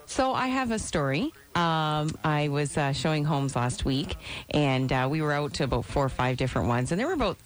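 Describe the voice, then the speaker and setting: A woman, a real estate agent chatting and telling a story on a radio show, chatty and quick to laugh. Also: deep voice, expressive. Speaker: real estate sales representative. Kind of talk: casual radio chat, storytelling. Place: radio studio.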